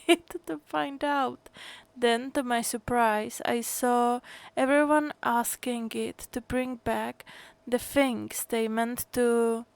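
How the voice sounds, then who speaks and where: A woman's voice: a little high, clean tone, no echo. A woman game streamer chatting casually and reading game dialogue aloud in a musing, hesitant way.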